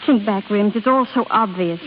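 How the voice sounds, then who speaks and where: A woman's voice: medium pitch, moderate pace, highs cut off, close to an everyday voice. A woman playing a hurt, resolute young wife in an earnest, emphatic 1930s radio drama style, on a hissy old recording. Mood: grave, confessing.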